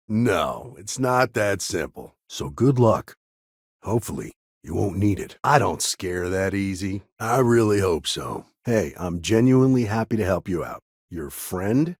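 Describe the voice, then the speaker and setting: An actor playing a gruff, streetwise frontier lawman in a video game, with an American accent, a gravelly, husky voice and a dry, plain-spoken manner. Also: fairly low pitch, darkish tone, no echo, exaggerated voice. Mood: easygoing, dry. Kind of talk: video game character dialogue.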